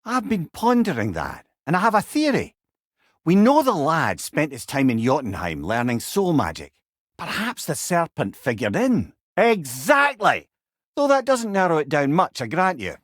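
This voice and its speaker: A learned old Scottish god from a video game, a companion to warriors who tells tales with wry wit. His pitch swings expressively through eloquent, old-fashioned sentences, and the sound is dry and close. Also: wildly swooping pitch, moderate pace, no room sound. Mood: amused, musing. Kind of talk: video game character dialogue, storytelling banter.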